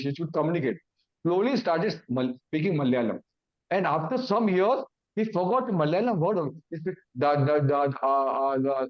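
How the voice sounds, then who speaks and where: Man, Indian English accent, native Hindi speaker, simple repeated phrases, dull muffled recording. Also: fairly high pitch. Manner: explains in simple repeated phrases, acting out confused thoughts. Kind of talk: awareness talk with everyday examples.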